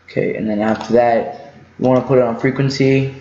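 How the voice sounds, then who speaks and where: A man giving a casual, unscripted video game walkthrough, halting and rambling as he thinks aloud. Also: medium pitch, steady tone, warm tone, a little hiss or hum. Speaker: gaming tutorial host. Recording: muffled lo-fi mic in echoey room.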